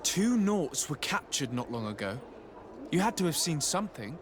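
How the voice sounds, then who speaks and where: A man's voice: fairly high pitch, very animated, moderate pace, background noise. A man voicing a composed, diplomatic emissary in a video game, polite, formal and probing.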